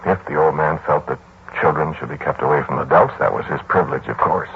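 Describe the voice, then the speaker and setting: A man's voice: extremely low pitch, quick pace, husky, narrow, tinny audio, heavily acted. An actor playing a brooding young lodger, a mathematician, confiding his story in 1940s radio drama style on an old broadcast recording. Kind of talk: 1940s radio acting, first-person narration and dialogue.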